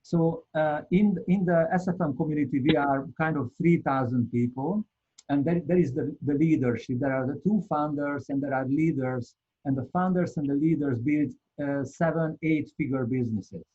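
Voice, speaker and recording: A man with a Hungarian accent gives a live online talk, earnest and halting, often repeating words as he searches for them. His voice is mellow and slightly husky. The audio is dull and lo-fi. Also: on the high side. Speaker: webinar presenter.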